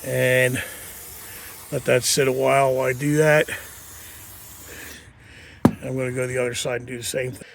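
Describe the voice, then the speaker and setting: Everyday man, mid pitch, sniffly cold, rambling DIY vlog voice, unscripted. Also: flat delivery, moderate pace, creaky, long pauses, slight background noise. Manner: rambling, unpolished, with many fillers and restarts. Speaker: home mechanic. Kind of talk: casual garage how-to. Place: outdoors, cold.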